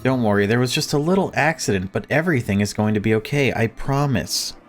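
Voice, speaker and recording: A man voicing a falsely cheerful, unhinged mother in a horror visual novel, coaxing and brisk. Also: mid-range voice, soft background music, natural voice. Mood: falsely reassuring.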